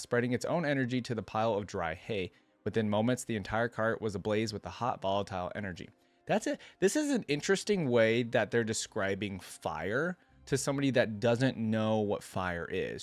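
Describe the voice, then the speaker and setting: A man's voice: fairly low pitch, animated, moderate pace, no room sound. A man hosting a reaction video, reading a story aloud and breaking off into casual, musing commentary with hesitant asides.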